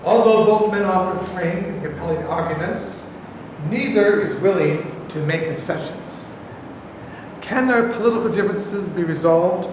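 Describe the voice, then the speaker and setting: A man's voice: high-pitched, moderate pace. A man giving a scripted theatrical introduction as narrator, in a declamatory manner. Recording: reverberant hall, distant mic, noisy.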